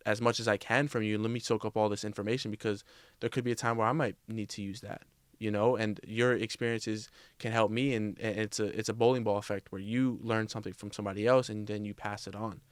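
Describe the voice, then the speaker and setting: A man's voice: fairly low pitch, fast, no room sound. A man in his twenties, a podcast guest, talking in a candid, reflective way in a casual interview conversation. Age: 20s. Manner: reflective, candid, speaks in a steady even tone.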